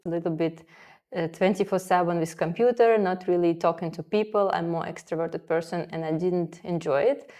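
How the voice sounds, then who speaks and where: A woman from Russia speaks English as a second language in a relaxed interview, recorded in her sewing studio. Her voice sits on the low side, and she talks chattily, often acting out her own past thoughts. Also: normal speed. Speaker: guest, sewing school founder. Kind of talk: casual interview conversation.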